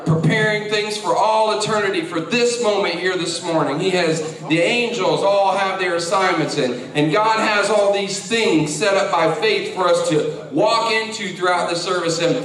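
A man's voice: high-pitched, moderate pace, hardly any pauses, a bit bright. Man, worship leader, casual, repeats words, distant mic, echoey church, congregation behind. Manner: casual, repeats words while leading prayer. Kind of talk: church service opening and prayer. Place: church sanctuary. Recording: echoey sanctuary from far off.

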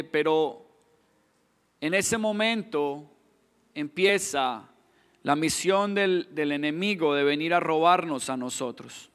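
Colombian preacher with a high voice. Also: male voice, fairly bright tone, long reverb tail. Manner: earnest, repeats and restarts words, rises to emphatic questions. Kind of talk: sermon.

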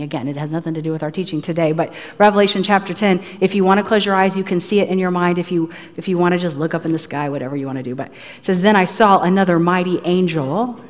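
Woman pastor preaching, casual and chatty, lots of likes, big echoey church hall. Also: on the low side. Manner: conversational, filler-heavy, animated delivery. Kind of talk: sermon. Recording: voice in a large hall with long reverb.

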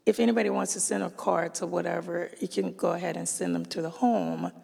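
Raspy, hoarse woman in a reverberant church. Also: on the low side, lively intonation, mellow. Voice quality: raspy, gravelly voice. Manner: halting, with frequent ums. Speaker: church member giving announcements. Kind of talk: casual church announcements. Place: church sanctuary. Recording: long church-hall reverb.

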